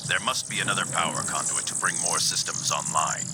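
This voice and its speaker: An actor playing a cold, calculating alien robot scientist and commander in a video game, with gravelly, clipped, formal and haughty speech. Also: male voice, deep voice, a little fast, close to an everyday voice. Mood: cold, analytical. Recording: game audio under loud music.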